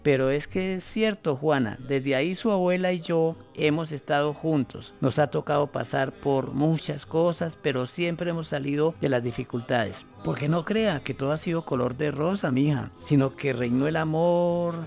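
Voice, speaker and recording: A man's voice: fairly high pitch, moderate pace, gravelly, few pauses, darkish tone. An older man with a Colombian accent playing an affectionate, proud and playful grandfather in an amateur radio play. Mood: playful pride. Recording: music under the voice. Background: music.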